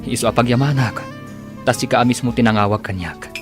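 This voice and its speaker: Gentleman suitor for radio drama, Filipino accent, medium register, earnest and apologetic, music underneath male performer. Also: medium pitch, fairly quick, lightly acted. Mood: apologetic, tender.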